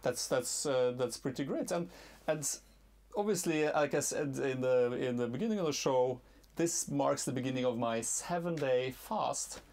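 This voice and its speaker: A man in his thirties hosting a casual interview, teasing and playful, halting with fillers, in a cluttered storage room. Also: mid-range voice, darkish tone. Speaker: talk show host.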